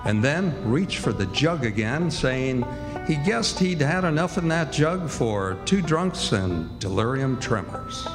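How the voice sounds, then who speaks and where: A man narrating a scripted radio drama in a measured, literary storytelling style. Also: medium pitch, very animated, music in the background. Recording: live stage hall with reverb.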